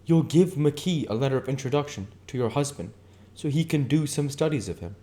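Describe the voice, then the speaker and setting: A man's voice: mid-range voice, animated, a little fast, dark tone, voice pushed up, lightly acted. A man voicing a boisterous, mocking man who teases others, in an audiobook. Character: mocking, boisterous man teasing his company. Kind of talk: audiobook character dialogue.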